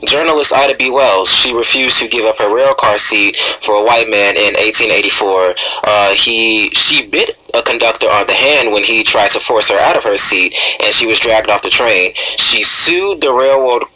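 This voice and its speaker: A man with an American accent and a fairly deep voice co-hosts a radio talk show. His tone is bright and forward, and his delivery is conversational. A faint noise sits under the broadcast. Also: normal speed, few pauses, rough recording. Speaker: radio co-host.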